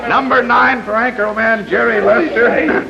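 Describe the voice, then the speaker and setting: A man with a high voice hosts a live game show with quick-witted patter. The recording is a dull vintage fifties broadcast with a very loud studio audience. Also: fairly even intonation, gravelly, a loud crowd.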